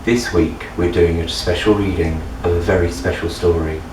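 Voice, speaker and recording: A man's voice: deep voice, fairly even intonation, normal speed. A man speaking as the narrator of a playful storytime reading. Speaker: guest narrator in a comedy readthrough. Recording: echoey room, distant mic, music underneath.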